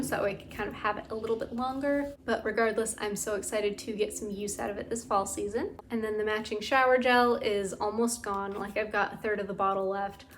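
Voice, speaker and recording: A woman vlogging in a casual, chatty way about bath products, her voice tired and a bit raspy from talking. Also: medium pitch, slight background noise. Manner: enthusiastic, gushing, lots of "like". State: tired, slightly raspy throat. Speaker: beauty and scent vlogger. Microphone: camera mic.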